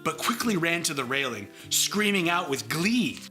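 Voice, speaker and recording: A man reading a children's picture book aloud as a comedic co-host, in an expressive storytelling style. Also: a little high, normal speed, soft background music. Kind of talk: animated children's story narration.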